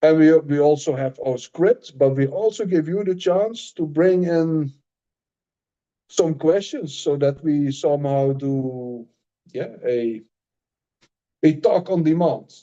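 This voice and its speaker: Dutch guy cohosting a podcast, halting, restarts a lot, kinda muffled sound. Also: a little high, mellow. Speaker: podcast co-host and author. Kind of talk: casual podcast chat.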